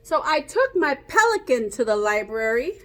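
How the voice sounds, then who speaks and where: A woman reading a picture book aloud like a teacher, pausing to explain and ask young children questions. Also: sits high, very animated. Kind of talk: interactive children's read-aloud.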